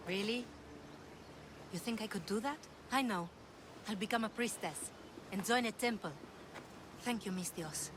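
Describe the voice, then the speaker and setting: A woman's voice: medium pitch, a little slow, long pauses, background noise, natural voice. A woman playing an earnest, troubled townswoman in a video game, with an emotional delivery. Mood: earnest, defiant. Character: earnest, troubled Greek townswoman pleading for help. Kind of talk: video game cutscene acting.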